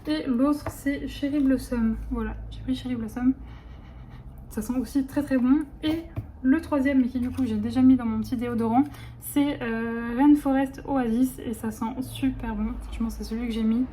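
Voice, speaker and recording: Woman, late 20s, casual vlogger; rambling, filler-heavy talk, warm dark tone, phone recording. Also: a little high, fairly even intonation, moderate pace, a little hiss or hum. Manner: chatty, rambling, many fillers and restarts. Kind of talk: casual vlog chat.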